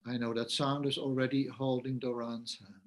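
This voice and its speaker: A man from the Netherlands, hosting the talk and gently guiding it, passing the floor to others. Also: mid-range voice, flat delivery, normal speed. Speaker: host.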